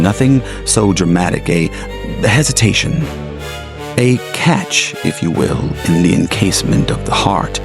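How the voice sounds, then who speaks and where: A man narrating a scripted horror story in a measured, suspenseful, dramatic manner. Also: lowish voice, gravelly, slightly rough, no echo.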